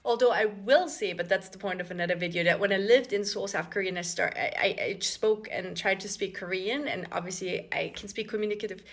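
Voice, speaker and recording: A woman in her thirties with an Austrian accent, talking to the camera in chatty vlog style. Her voice is bright and forward, and she tells her story with lots of fillers and quick restarts. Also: medium pitch. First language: German. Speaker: expat vlogger.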